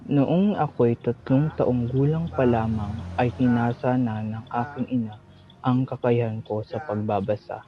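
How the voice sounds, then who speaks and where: A man's voice: mid-range voice, fairly even intonation, a little slow, natural voice. A man playing an earnest, dignified young hero narrating his own life story in formal, solemn scripted lines. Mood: solemn, reflective. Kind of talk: scripted first-person narration in a student historical dramatization. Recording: dull lo-fi audio under loud music. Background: loud instrumental music.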